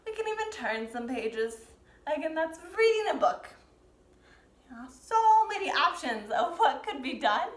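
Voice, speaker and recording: A woman teaching dance to young learners, explaining and demonstrating step by step with gentle, encouraging prompts. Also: high voice, sing-song, measured pace. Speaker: dance teacher leading a children's pantomime lesson. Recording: roomy, echoing sound.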